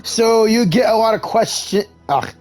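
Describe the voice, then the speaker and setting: A man's voice: sits high, lively intonation, moderate pace, darkish tone, faint music behind, low-quality recording. A man speaking casually and informally as a gamer voicing along with a video game.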